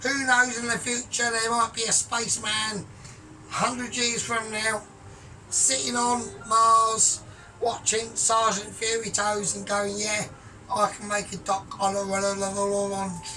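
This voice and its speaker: A man talking through his cooking in a rambling, grandiose, sweary way, often trailing off quiet. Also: high-pitched, completely flat delivery, slow. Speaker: home cook vlogger. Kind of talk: casual cooking vlog. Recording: distant mic with music behind.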